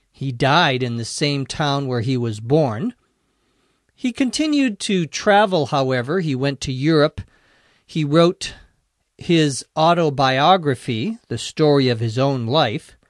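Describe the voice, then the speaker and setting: A man hosting an English-learning podcast, speaking slowly and deliberately, spelling out words letter by letter and slipping in dry jokes. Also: a little high, lively intonation, dry recording. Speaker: English teacher and podcast host. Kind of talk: slow, clear ESL podcast explanation.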